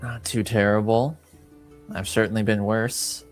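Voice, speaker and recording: A man's voice: fairly low pitch, little pitch movement, measured pace, soft background music, close to an everyday voice. A man voicing an understated, guarded but easygoing informant in a tabletop game, speaking in few words. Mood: guarded, dry.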